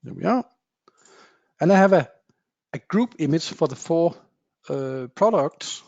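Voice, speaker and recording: Man giving a software demo. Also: a little high, expressive, a little slow, gravelly, dry recording. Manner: plain explanatory talk with frequent uh fillers, non-native phrasing. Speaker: software presenter. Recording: dull dry webinar audio.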